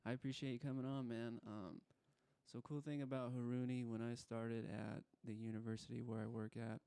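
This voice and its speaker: The guy behind the mic on a homemade late-night chat show, mellow, slangy and laid-back, with a fry in his throat. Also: medium pitch, little pitch movement, a little fast, dry recording. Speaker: podcast host. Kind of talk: casual chat interview.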